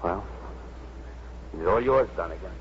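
Blunt fire chief, deep voice, practical, colloquial, noisy muffled old radio drama. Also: male voice, lively intonation, a little slow. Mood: gruff, businesslike. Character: brisk, practical fire chief giving orders. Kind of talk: 1950s radio drama acting.

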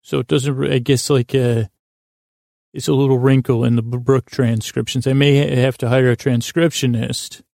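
A man with a gravelly, creaky voice hosts a podcast, recorded close and dry. He wanders through a whimsical monologue, stopping often, doubling back on words and drifting off on silly tangents. His pitch sits in an ordinary male middle range. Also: little pitch movement, mellow, no room sound. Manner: halting, digressive, with long pauses and repeated words; silly, absent-minded. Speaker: podcast host. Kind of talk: rambling whimsical monologue meant to distract listeners.

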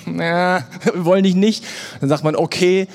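A man's voice: high voice, measured pace. A man from Germany, a podcast guest, talking casually on a live panel and acting out the voices of people he quotes. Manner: relaxed, acts out quoted voices of others. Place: live event at an embassy.

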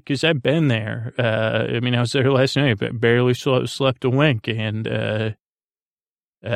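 A tired man hosting a sleep podcast, giving a meandering, halting bedtime monologue in which he often repeats words. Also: medium pitch, vocal fry, no echo, clean studio sound. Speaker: podcast host. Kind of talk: rambling bedtime monologue to help listeners sleep.

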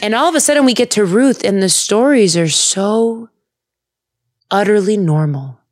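A young woman hosting a podcast, chatting in a bubbly, animated way and laughing often. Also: mid-range voice, moderate pace. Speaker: podcast host. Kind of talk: casual chat about the Bible.

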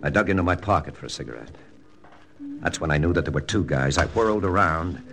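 An actor playing a hard-boiled army lieutenant, narrating in a clipped first-person style for a 1940s radio drama. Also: male voice, sits low, fairly quick, gravelly. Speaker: narrator and lead. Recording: old radio broadcast with music.

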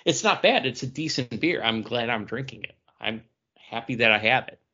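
Slightly muffled, lo-fi audio of a man with a medium-pitched voice. He chats loosely and informally as a co-host among fellow podcasters. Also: animated, moderate pace, pushed and bright. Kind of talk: casual chat.

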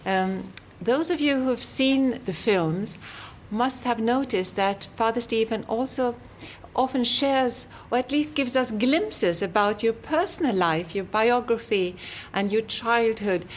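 A woman asks polite, careful interview questions in a fairly high voice. She sometimes repeats small words as she builds a question. Faint room ambience is behind her. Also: a little hiss or hum.

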